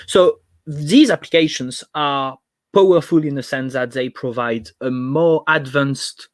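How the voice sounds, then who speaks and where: A man's voice: mid-range voice, measured pace, no echo. A young man from France with a French accent, giving an online technical presentation with many fillers and restarts. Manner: hesitant, frequent fillers and restarts. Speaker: conference speaker. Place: home room.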